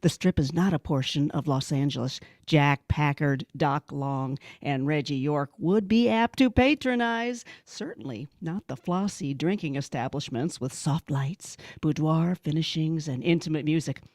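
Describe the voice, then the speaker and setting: A woman narrating an old-time radio detective drama, setting the scene in a measured, scripted delivery. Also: low-pitched, a little mellow, no echo, clean studio sound.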